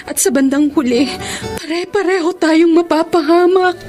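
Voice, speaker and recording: A high-pitched Filipina mistress, devoted and self-sacrificing, speaks with plaintive, melodramatic heartache. Music runs clearly behind her. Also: normal speed. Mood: sorrowful resolve. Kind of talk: Filipino radio drama acting. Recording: radio drama with music underneath.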